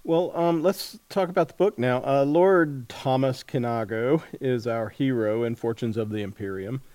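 A man's voice: mid-range voice, moderate pace, dark tone, no room sound. A man hosting a casual interview, feeling his way through questions and rephrasing them as he goes. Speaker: interviewer, host.

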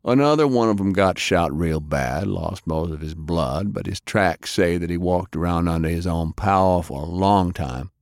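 A man acts out a hulking, plain-spoken war veteran in a pulp audiobook. The voice is very deep and gruff, with terse, folksy delivery. The sound is close and dry. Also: moderate pace, hardly any pauses, no room sound. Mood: laconic, analytical. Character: big gruff plain-spoken country-bred American war veteran detective.

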